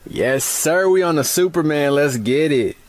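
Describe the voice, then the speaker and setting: A man playing an excitable, slangy young theme park passenger who is quick to panic. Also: on the high side, noisy background. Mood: hyped.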